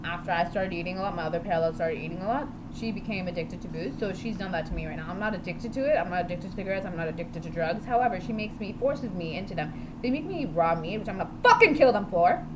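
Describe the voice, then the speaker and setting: Rambling woman vlogger over background noise. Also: mid-range voice. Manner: rambling, jumps from topic to topic; blunt, swears often. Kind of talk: unscripted video diary monologue. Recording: steady background noise with passing vehicles.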